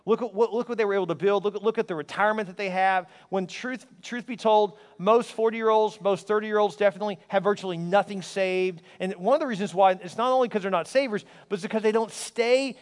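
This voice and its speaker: A pastor in his forties preaching a sermon to a large congregation, energetic and conversational, with quips thrown at the crowd. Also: high-pitched, quick pace, hardly any pauses. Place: large church auditorium. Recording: long hall reverb.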